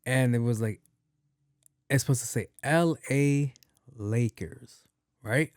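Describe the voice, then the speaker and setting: A young man hosting a podcast in a soft voice, rambling casually with plenty of cursing and restarts. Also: slow pace, clean tone, no echo, studio quality. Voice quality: soft, not deep. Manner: loose and profane, with many restarts and repeats. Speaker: podcast host.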